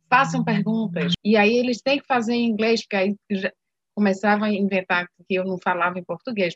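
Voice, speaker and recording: A woman interviewer chatting casually, relaxed, with small hesitant repeats. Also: mid-range voice, dry recording. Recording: dull, dry recording.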